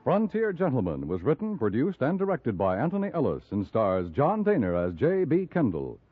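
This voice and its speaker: Animated American radio announcer, muffled old recording. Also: male voice, medium pitch, moderate pace. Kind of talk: 1950s network radio announcing. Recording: muffled 1950s radio recording, loud music.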